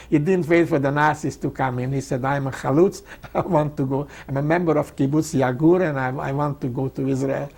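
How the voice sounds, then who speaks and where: An elderly man, a native Hungarian speaker with a Hungarian accent, telling his life story in an emphatic, halting way, often repeating phrases. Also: fairly high pitch, gravelly, darkish tone, a little hiss or hum. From Czechoslovakia, Hungarian-speaking. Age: 80s or older. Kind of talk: oral history interview, personal storytelling.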